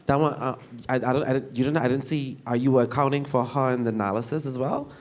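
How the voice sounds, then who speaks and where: A man asking a panel a question, halting and full of restarts. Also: mid-range voice, fairly even intonation. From Bahamas. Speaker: audience member asking questions. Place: university lecture hall.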